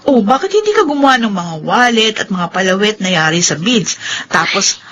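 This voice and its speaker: A woman's voice: mid-range voice, expressive, normal speed, a bit bright, slight background noise, natural voice. A woman playing a practical, encouraging young adult elder sister in radio drama dialogue. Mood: chatty, encouraging.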